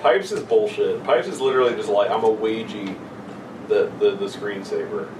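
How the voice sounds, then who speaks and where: A man's voice: on the low side, lively intonation, normal speed. A young man co-hosting a podcast in casual banter, blunt, crude and self-deprecating. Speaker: podcast co-host. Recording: distant mic with clear background noise.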